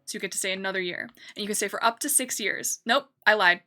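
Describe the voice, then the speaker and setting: Bright, chatty young woman streamer. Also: medium pitch, fast, soft background music. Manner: rambling, chatty, self-correcting with many fillers. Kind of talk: casual chat while playing a building game.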